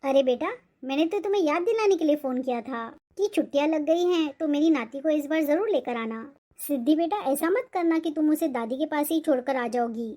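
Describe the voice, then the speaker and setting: A woman's voice: high-pitched, fairly quick, few pauses, warm tone, close to an everyday voice. A woman voicing a nagging older mother-in-law in a cartoon family drama, with a complaining manner. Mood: fond, eager. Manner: scolding, complaining.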